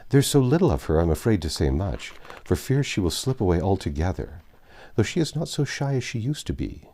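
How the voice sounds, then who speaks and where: A man voicing a proud, tender middle-aged father in a storybook reading, speaking in a measured, fond, fatherly way. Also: sits low, sing-song, warm tone, exaggerated voice. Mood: fond, cheerful.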